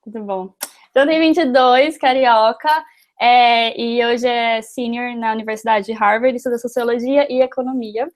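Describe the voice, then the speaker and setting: A woman's voice: on the high side, steady tone, moderate pace. A woman, interviewing someone in a casual style.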